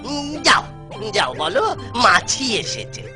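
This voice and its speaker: Kindly clever older cartoon uncle type, swoopy playful delivery, music behind. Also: male voice, sits high, huge pitch swings, slow pace, heavily acted. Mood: sly. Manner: coaxing, avuncular, playful. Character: genial, clever older village jester. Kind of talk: comic cartoon acting.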